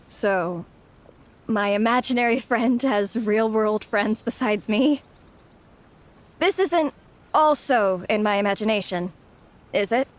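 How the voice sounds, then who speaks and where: An actress playing a polite, hesitant, overworked young female office worker in a video game. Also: mid-range voice. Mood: relieved, surprised.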